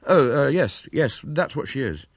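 Storybook role: young boy schemer, raised pitch, hesitant and stammering, performed by a man. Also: sing-song, a little slow, breathy edge, dry recording, studio quality, heavily acted. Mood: bluffing. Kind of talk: storybook character voice.

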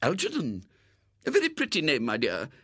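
A man's voice: medium pitch, huge pitch swings, normal speed, husky, no room sound, clean studio sound, pitched above the performer's own voice, heavily acted. A man voicing a severe, prim and gullible woman in a storybook, with a proper manner. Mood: gracious, gentle. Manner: grim and sharp, softening to gracious.

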